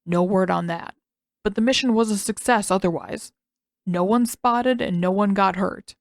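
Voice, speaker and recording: A woman's voice: mid-range voice, moderate pace, dry recording, lightly acted. A woman voicing a dutiful young male messenger who reports plainly in audiobook dialogue. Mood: matter-of-fact. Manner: matter-of-fact reporting.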